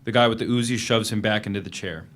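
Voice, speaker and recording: A man's voice: on the low side, fast, a bit bright, close to an everyday voice. An actor playing a guarded, defiant prisoner, narrating his journal tersely in a dramatic reading of a novel. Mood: guarded, tense. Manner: plain, guarded, terse storytelling in present tense.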